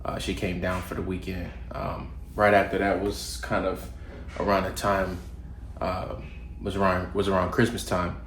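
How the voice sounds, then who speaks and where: A low-voiced young man with a drawl talks straight to camera. His nose sounds clogged from a recent cold, and he keeps it loose, with lots of ums and false starts. The room is echoey and has a faint hum behind him. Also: normal speed. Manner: relaxed, loose with fillers and restarts. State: congested from a cold. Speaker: fitness vlogger. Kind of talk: casual talking-to-camera advice. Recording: roomy home recording, faint ambience.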